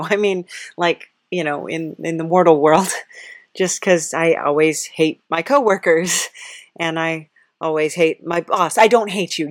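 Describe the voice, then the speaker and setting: Female podcast host, slightly low voice, hesitant and giggly, casual comic interviewing, dry close sound. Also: no room sound. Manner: giggly, hesitant, awkwardly chirpy.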